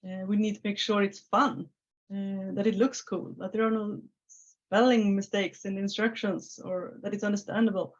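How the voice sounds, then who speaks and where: A woman's voice: medium pitch, little pitch movement, moderate pace. A Swedish woman in her thirties with a Swedish accent, talking casually as an interview guest over a video call, with many filler sounds and restarts. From Sweden. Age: 30s. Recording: slightly muffled video call audio.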